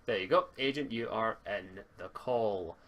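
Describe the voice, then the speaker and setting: A man speaking casually as game master of a tabletop roleplaying game, halting and repeating words as he thinks. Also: lowish voice, a bit bright, lo-fi sound. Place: home online voice call stream.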